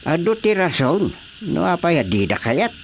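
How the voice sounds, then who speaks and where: A man's voice: fairly high pitch, big pitch swings, moderate pace, slight background noise. A man with a Filipino accent playing a fearful, stubborn elder parent in a radio drama.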